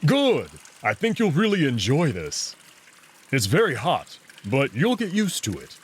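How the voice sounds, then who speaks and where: A man's voice: mid-range voice, wildly swooping pitch, unhurried, slightly rough, heavily acted. A man voicing a big, playful wolf prince in a visual novel, with a teasing, commanding way of talking. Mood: inviting. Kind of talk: visual novel character acting.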